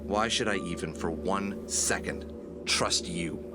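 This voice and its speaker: A man's voice: low-pitched, takes it slowly, loud music behind the voice. A man acting in an audio drama as a plucky, sarcastic adventurer who is wary, casual and easily flustered. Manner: wisecracking, excitable, casual.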